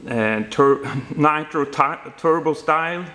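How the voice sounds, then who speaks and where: Slow, halting Swedish-accented man. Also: fairly high pitch, sharp tone. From Sweden. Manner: halting, searching for words. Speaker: home builder presenting his project. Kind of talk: informal walkaround explanation. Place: indoor workshop garage.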